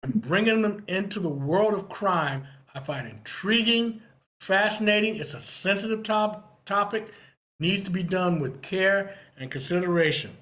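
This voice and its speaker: A man with a notably high voice gives informal critique in an online meeting. His phrasing is measured and appreciative. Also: fairly bright tone. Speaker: participant giving script feedback.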